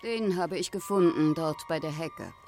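An actress playing a gaunt, sickly, bitter spinster in a radio drama, severe and foreboding in manner. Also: female voice, sits low, moderate pace, darkish tone, soft background music. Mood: reproachful.

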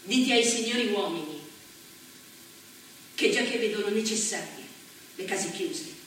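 A woman's voice: mid-range voice, lively intonation, unhurried, a bit bright, a little hiss or hum. An actress on stage playing a worn-out young woman in a closed brothel, voicing a weary, despairing plea. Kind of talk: stage reading. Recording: echoey stage sound from far off.

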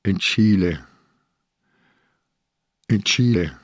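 Dry, low-voiced old inspector. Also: male voice, drawn-out words, dry recording. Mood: brooding, suspicious. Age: older. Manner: dry, blunt, probing. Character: old ailing Bernese police inspector, dry, probing, stubborn. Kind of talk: radio drama acting.

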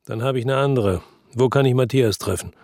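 A man's voice: mid-range voice, brisk, a little mellow, dry recording, close to an everyday voice. An actor playing a world-weary former policeman from Marseille, narrating his case soberly and laconically in a radio drama. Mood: terse, probing. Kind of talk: first-person radio drama narration and dialogue.

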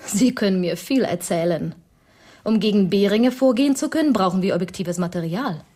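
A woman's voice: medium pitch, lively intonation, brisk, warm tone, close to an everyday voice. Young female executive, played by an actress: cold, formal, clipped, mid-range voice, German radio drama. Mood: cool, businesslike. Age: young adult. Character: cool, haughty young female corporate security executive.

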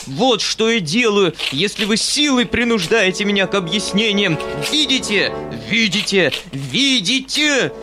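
Audiobook role: haughty sanctimonious man, theatrical and preachy, drawn-out delivery, higher-pitched. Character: pompous sanctimonious man, shrieking self-righteous hanger-on. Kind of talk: dramatic audiobook character acting.

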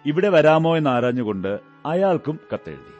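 An actor playing a shrewd, commanding male detective in a Malayalam radio drama, speaking in a measured, deliberate way. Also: on the high side, very animated, darkish tone, dull audio, faint music behind. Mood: analytical.

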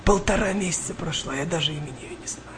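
A man's voice: a little high, quick pace, lightly acted. Shy young sailor lad played by a man, noisy backdrop. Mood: shy, wistful. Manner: hesitant, confiding, naive. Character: shy, sensitive, exhausted eighteen-year-old sailor lad. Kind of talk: naturalistic radio play dialogue.

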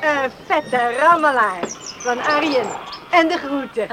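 An actress in a radio drama playing an obliging, plain-spoken young farm daughter. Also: female voice, high-pitched, slow. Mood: matter-of-fact. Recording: noisy old radio play recording.

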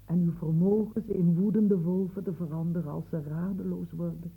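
A woman's voice: fairly low pitch, steady tone, fairly quick, slightly rough. A woman playing an earnest, soothing companion to an old marshal in a radio play. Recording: phone-like narrow band.

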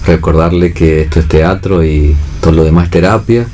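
A man's voice: sits low, normal speed, warm tone. An Argentine man speaking as a theatre director in a casual radio discussion, reflective and often checking in with short tag questions. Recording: noisy radio studio recording.

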